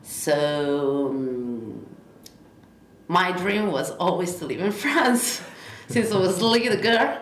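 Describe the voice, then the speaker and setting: A woman's voice: fairly low pitch, lively intonation, slow. A young woman from Italy, speaking English with an Italian accent as an interview guest, rambling through lists with many fillers. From Italy, Piedmont. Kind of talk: casual interview chat. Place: apartment in Bordeaux. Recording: roomy with faint noise.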